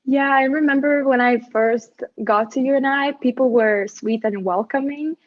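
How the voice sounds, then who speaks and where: A young woman from Latvia, a student athlete whose first language is Latvian, answering interview questions in a measured, slightly rehearsed way with small restarts. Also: fairly high pitch, a little mellow, no room sound, highs cut off. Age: young adult.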